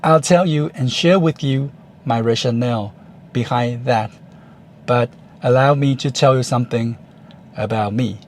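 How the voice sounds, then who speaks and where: A Taiwanese-accented man, Chinese his first language, talks in English at a slow, deliberate pace in a voice of middling pitch. He pauses often and leans on fillers as he works through his thoughts. A faint hiss of noise sits behind him. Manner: measured, unhurried, with frequent pauses and fillers. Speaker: YouTuber. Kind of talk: casual talk to camera.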